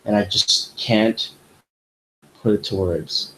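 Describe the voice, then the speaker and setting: Animated young man with low voice. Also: slow pace, poor audio. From United States. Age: young adult. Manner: animated, rambling, many fillers. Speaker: podcast host. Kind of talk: casual chat.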